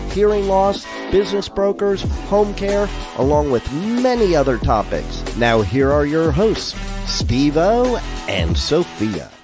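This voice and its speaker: A man announcing a radio show intro in an energetic promotional style. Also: a little high. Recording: intro voice-over with music bed. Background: music.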